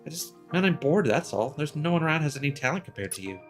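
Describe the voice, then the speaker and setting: A man reading game dialogue as a prickly, competitive young female dancer, brisk and defensive. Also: fairly high pitch, sing-song, faint music behind, pitched above the performer's own voice. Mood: aloof but caring.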